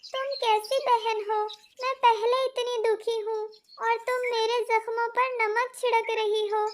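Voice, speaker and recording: A woman's voice: very high voice, flat delivery, a little slow. A woman voicing a kind, wronged young butterfly sister in a children's cartoon, earnest and emotional. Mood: grieving, hurt. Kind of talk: Hindi children's cartoon dialogue.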